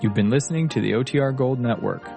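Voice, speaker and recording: A man's voice: medium pitch, fast, creaky, music in the background. A man reading a scripted radio network announcement.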